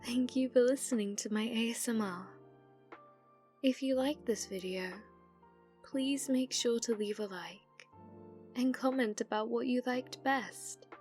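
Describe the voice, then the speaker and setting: A British woman, an ASMR creator, giving a gentle, soft-spoken channel outro that at times slips into a whisper. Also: fairly high pitch, measured pace, faint music behind.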